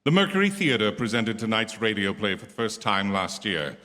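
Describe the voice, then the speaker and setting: An actor playing an urbane, wry star host introducing a 1940s radio thriller in theatrical, measured oratory. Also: male voice, lowish voice, sing-song, sharp tone. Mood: wry, suave. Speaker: program host. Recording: echoey theatre hall with music underneath.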